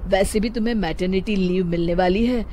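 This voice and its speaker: A woman's voice: medium pitch, brisk, dark tone, slight background noise, natural voice. A woman voicing a worried young husband who speaks mildly and hesitantly. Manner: hesitant, mild.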